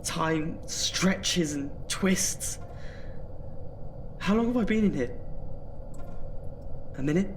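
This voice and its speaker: An actor playing an anxious young British student in an audio drama, nervously talking himself through his fear of the dark. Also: on the high side, expressive, slow pace, long pauses, slight background noise, poor audio. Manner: jittery, muttering self-talk with sighs. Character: anxious student afraid of the dark, self-scolding.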